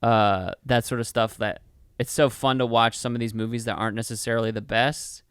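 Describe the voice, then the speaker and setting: A man's voice: mid-range voice, little pitch movement, brisk, a bit bright, clear, resonant tone, no room sound, studio quality. A man co-hosting a podcast, chatting casually about films in a profane, slangy way. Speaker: podcast co-host. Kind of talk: casual film review chat.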